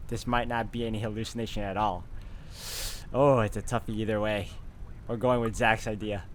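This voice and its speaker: A man's voice: lowish voice, level tone, moderate pace, heavy background noise. A man hosting a game walkthrough, reading story text aloud and commenting casually.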